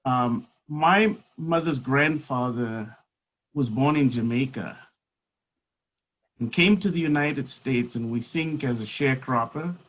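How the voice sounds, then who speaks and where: A man in his fifties speaks very slowly as a guest in a conversation. He weighs each phrase, and his mid-pitched voice fills the pauses with soft fillers as he reflects on his own life. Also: low-quality recording. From South Africa. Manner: measured and unhurried, with pauses and fillers. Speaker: guest speaker, seminary academic. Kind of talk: reflective personal storytelling in conversation.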